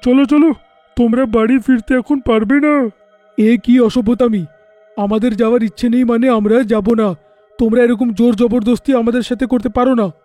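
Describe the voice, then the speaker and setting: A protective husband and father in a cartoon story, voiced by a man. His voice sits very high for a man, with a mellow tone in a dry, close recording. He sounds alarmed and quick to scold. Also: fairly even intonation, no echo, faint music behind. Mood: defiant. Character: worried protective family man. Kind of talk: cartoon voice acting.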